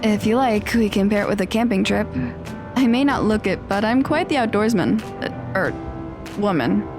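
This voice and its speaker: A woman's voice: mid-range voice, animated, moderate pace, music in the background, lightly acted. A woman playing an eager, easily flustered teenage girl in an audio drama, with a polite manner.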